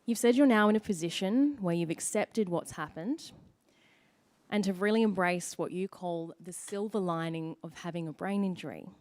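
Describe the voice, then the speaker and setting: A woman's voice: on the low side, moderate pace. A woman with an Australian accent hosting a stage event, introducing and interviewing a guest in an encouraging manner. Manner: warm, encouraging, upbeat. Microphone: microphone.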